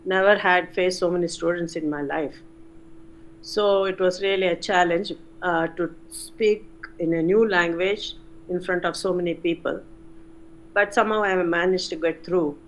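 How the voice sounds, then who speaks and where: An older woman, a retired chemistry professor recalling her career in an interview, unhurried with frequent pauses. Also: fairly low pitch, fairly even intonation, slight background noise, poor audio. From India. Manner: unhurried, many "uh" pauses, self-corrects. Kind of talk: reflective interview answers.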